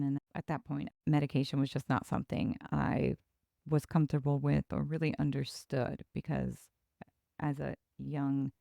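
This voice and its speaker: A podcast host from Ecuador, a woman telling her own story in a reflective, halting way with pauses and restarts. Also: extremely low pitch, vocal fry, mellow, no room sound. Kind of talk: personal storytelling.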